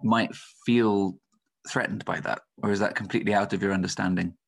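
A man's voice: on the low side, fairly even intonation, a little fast, vocal fry, husky. A man hosting a radio programme, putting questions and comments to a guest in a conversational way. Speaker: radio host. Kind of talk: conversational interview.

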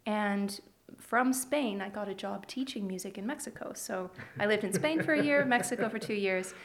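A woman's voice: mid-range voice, normal speed. A woman in her thirties with a Canadian accent, chatting thoughtfully and a little hesitantly as a musician guest in a radio interview.

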